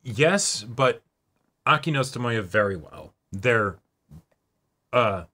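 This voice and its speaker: A man hosting a reaction show in casual discussion, halting and repeating words as he reasons aloud. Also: mid-range voice, expressive, pushed and bright. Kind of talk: casual discussion of an anime episode.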